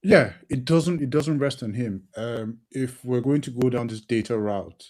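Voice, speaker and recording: A man's voice: mid-range voice, a little slow, darkish tone. A man co-hosting a football podcast, sniffly from hay fever, passionate and often repeating words as he thinks. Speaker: podcast co-host. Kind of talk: casual football podcast chat.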